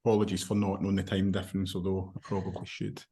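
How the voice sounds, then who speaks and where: A man's voice: low-pitched, quick pace. Broad-accented Scottish man hosting. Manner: apologetic, steady, level delivery. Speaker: webinar host. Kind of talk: hosting an online panel. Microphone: video call.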